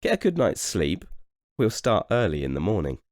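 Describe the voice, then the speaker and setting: A man plays a kindly patchwork elephant from a storybook, calm and encouraging. The voice is very deep, with expressive rises and falls, and sounds close and dry. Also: moderate pace, dry recording.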